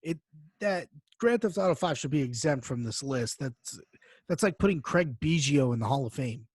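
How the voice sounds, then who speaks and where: A man's voice: mid-range voice, expressive, moderate pace, a little mellow, slightly rough, no room sound. A man co-hosting a podcast in casual chat, reacting sarcastically and repeating phrases for emphasis. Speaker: podcast co-host.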